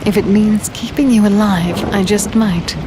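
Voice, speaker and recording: An actress playing a plucky, wry female archer and loyal companion in a dark fantasy audio drama. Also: mid-range voice, fairly even intonation, moderate pace, natural voice. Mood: teasing. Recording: storm noise behind voice. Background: rain and thunder.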